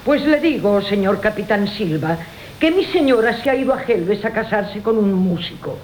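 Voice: medium pitch, animated, moderate pace, natural voice, rough recording. A performer playing a sly, mock-deferential, gossipy servant in a classical radio comedy. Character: sly, gossipy, opportunistic household servant Álvarez. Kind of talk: Spanish classical comic radio theatre acting. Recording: hissy 1980 radio broadcast.

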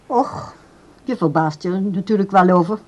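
A cool and guarded grown woman, played by an actress, with a mid-pitched voice. She speaks in a measured, politely aloof way, as a character in a radio play. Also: lo-fi sound, lightly acted. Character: cool, guarded adult daughter of an old man. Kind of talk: Dutch radio play acting.

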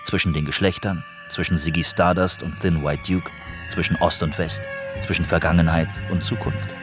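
A man's voice: low-pitched, fairly even intonation, normal speed, music in the background. A man narrating a scripted radio documentary.

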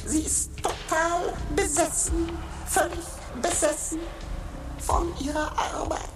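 A woman voicing a talking stone that is new to the world, speaking in a measured, musing way in a radio drama. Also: flat delivery. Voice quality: very high, slow and deliberate. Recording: roomy studio with music underneath.

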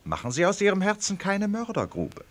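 Witty radio play narrator, wry and theatrical, voice goes up and down loads. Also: male voice, high-pitched, wildly swooping pitch, brisk, heavily acted. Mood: theatrical, ironic. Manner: theatrical, wry, rhetorical questions. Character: urbane, ironic male storyteller who banters with the characters.

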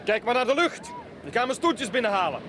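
An actor playing a sceptical young student worker in a radio play, terse and questioning. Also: male voice, very high voice, very animated, brisk. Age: young adult. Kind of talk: 1980s Flemish radio play acting. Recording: radio play with clear outdoor noise.

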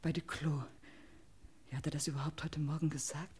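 Husky, low-voiced distraught wife. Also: female voice, level tone, a little fast, a little mellow. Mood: uncertain. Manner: theatrical monologue in short, broken phrases. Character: distraught, suspicious wife clinging to denial. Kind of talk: mid-century German radio crime drama acting.

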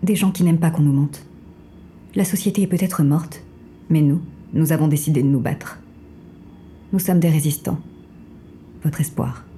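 An actress playing a mysterious resistance agent in a noir audio drama, speaking formally with cool, polite composure and quiet command. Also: female voice, moderate pace, pauses often, a little hiss or hum, lightly acted. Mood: calm, insistent. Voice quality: low, dark. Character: mysterious female resistance agent guiding the inspector, coolly composed.

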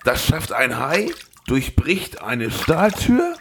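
A man's voice: medium pitch, sing-song, slow, a little hiss or hum. A man voicing an indignant investor who demands answers, in an amateur audio drama. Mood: incredulous. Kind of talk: amateur audio drama re-enactment of film dialogue.

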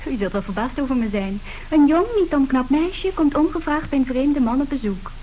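A woman's voice: sits high, lively intonation, normal speed, mellow, highs cut off. An actress playing a bold, businesslike young woman from a wealthy family, pert and self-assured, in a radio play. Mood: pert, insistent. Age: young adult. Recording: hissy old radio drama recording.

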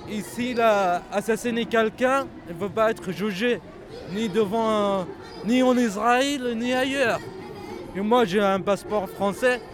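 Guy at a street protest, high voice, keeps getting loud, crowd all around. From Palestine. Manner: halting, passionate, raises voice often. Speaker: protester interviewed at a rally. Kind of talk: street interview. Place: outdoor street demonstration.

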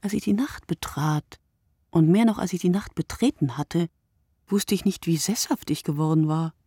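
A woman performing a reflective, sleepless narrator in a literary radio monologue, speaking in measured short phrases. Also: on the low side, mellow, breathy edge, dry recording, clean studio sound. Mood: weary, reflective.

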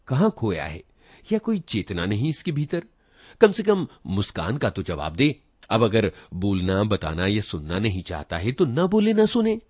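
A man's voice: lowish voice, a little mellow, husky, no room sound. A man reading a literary short story aloud in a dramatic, reflective first-person manner. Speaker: story reader.

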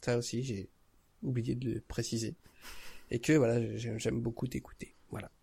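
Male podcast guest, mid-pitched and slightly rough-edged, informal and easygoing conversational delivery. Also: flat delivery, normal speed, warm tone. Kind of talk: casual conversational chat.

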